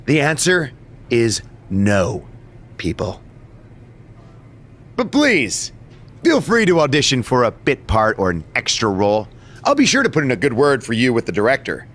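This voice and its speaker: A man's voice: mid-range voice, wildly swooping pitch, moderate pace, pauses often, a bit bright. A man voicing a vain, smug wrestler turned movie star in a video game cutscene, with a pompous, condescending delivery.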